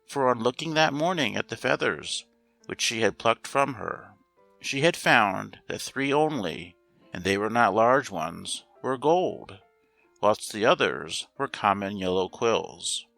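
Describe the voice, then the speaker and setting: A man reads a fairy tale in a measured, expressive storytelling style. His voice is bright with a noticeable fry, set in a roomy, echoing space with light music behind it. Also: fairly low pitch. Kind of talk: scripted fairy-tale narration.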